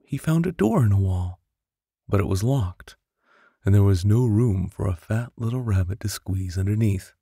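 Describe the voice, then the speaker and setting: A man's voice: on the low side, lively intonation, moderate pace, vocal fry, warm tone, breathy edge, no echo. A man narrating a bedtime story expressively and theatrically, close on a studio microphone. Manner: theatrical, with whispers and sound-effect voices. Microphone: close studio condenser microphone.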